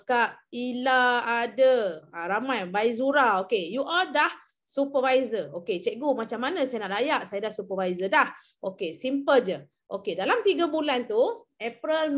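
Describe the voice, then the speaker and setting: A high-pitched young Malaysian woman briefs her sales team over a video call. She repeats the key figures and keeps checking that everyone follows. Her tone is coaxing and encouraging. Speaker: MLM team leader presenting an incentive program.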